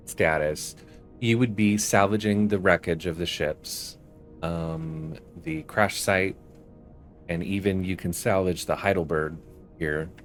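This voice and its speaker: A man giving casual, explanatory commentary as the host of a gaming video. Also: sits low. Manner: explanatory, reads quest text aloud.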